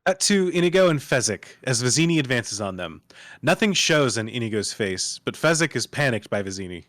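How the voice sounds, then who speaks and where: A man's voice: medium pitch, big pitch swings, fairly quick, a bit bright, no echo. Man narrating, close and dry. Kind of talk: reading screenplay stage directions aloud.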